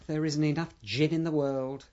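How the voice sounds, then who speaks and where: An actor playing a camp, catty, theatrical younger English man in a radio drama, with an arch drawl and a breathy voice. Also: on the high side, fairly even intonation, normal speed, dark tone, dull audio, lightly acted. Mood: self-pitying, arch. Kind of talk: BBC radio drama acting.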